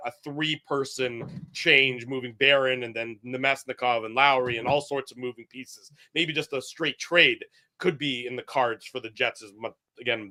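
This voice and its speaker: A man's voice: mid-range voice, bright, forceful tone. A man co-hosting a hockey talk show, floating questions and ideas in casual speech. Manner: speculative, tosses out questions and ideas.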